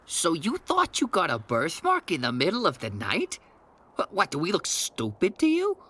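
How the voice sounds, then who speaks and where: A mocking soldier interrogator in an anime audio drama, acted by a man. The voice is forceful and edgy, with pitch that swings wildly. He is hard-nosed throughout. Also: wildly swooping pitch, moderate pace, full character voice. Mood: mocking, threatening.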